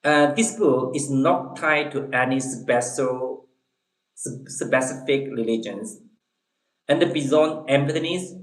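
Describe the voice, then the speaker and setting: Male streamer with a Chinese accent, unhurried and halting, mid-pitched. Casual viewer chat in an echoey room. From Eastern China. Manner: halting, repeats words, searches for English phrases. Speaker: livestream host.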